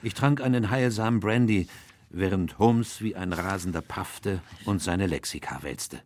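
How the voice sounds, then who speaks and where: An actor playing a measured, reflective gentleman who narrates a radio play in the first person. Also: low-pitched, slight background noise. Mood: wry.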